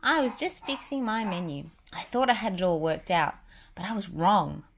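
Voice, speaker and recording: A woman's voice: mid-range voice, lively intonation, moderate pace, a bit bright, slight background noise, pitched above the performer's own voice. A woman voicing a cheerful, enthusiastic male cartoon dog in a children's storybook. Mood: eager. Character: cheerful, eager male cartoon dog running a pie shop.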